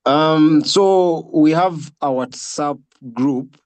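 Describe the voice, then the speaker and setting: A man hosting a casual group video call, speaking haltingly and often repeating his words. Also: on the high side, a little mellow, no room sound. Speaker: host of an online film session.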